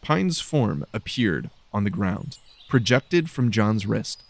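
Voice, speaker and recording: Low, gravelly male story narrator. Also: big pitch swings, takes it slowly. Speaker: audiobook narrator.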